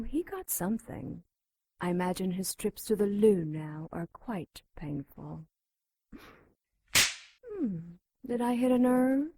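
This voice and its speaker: Casting: sultry female demon captive, audio drama, unhurried and dark-toned, mocking and whispery. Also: no room sound, exaggerated voice. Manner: taunting, breathy whispers, moans and low laughs.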